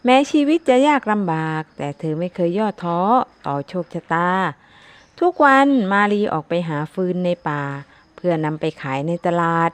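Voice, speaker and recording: A woman's voice: lowish voice, expressive, moderate pace, smooth, dry recording. A woman narrating a scripted children's folktale as a storyteller. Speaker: storyteller, channel host.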